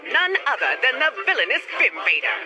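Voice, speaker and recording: A bombastic announcer narrates a vintage-style radio serial. The delivery is theatrical and full of suspense, with music playing behind. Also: male voice, very high voice, big pitch swings, brisk.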